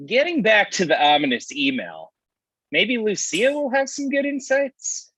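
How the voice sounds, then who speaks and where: A man's voice: high-pitched, very animated, a little slow, pushed and bright, husky, heavily acted. A man playing a needling, wisecracking friend in a comedy table read, heard through a dull online-call connection.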